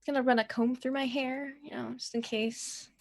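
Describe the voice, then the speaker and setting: Female tabletop player, highish even pitch with plenty of vocal fry, casual in-character chat. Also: normal speed. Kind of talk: casual in-character game talk.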